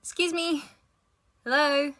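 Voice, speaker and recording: Woman acting bright, insistent young student. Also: high-pitched, fairly even intonation, slow, exaggerated voice. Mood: curious. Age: young adult. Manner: urgent, questioning. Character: anxious, insistent young female university student. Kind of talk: fan audio drama acting.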